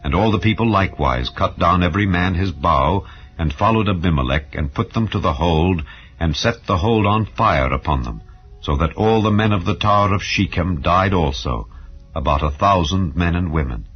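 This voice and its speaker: Male narrator for dramatized Bible audio: very low pitch, solemn, measured, slightly dull recording. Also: animated, soft background music. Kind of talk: dramatized scripture reading. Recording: dull, muffled lo-fi sound.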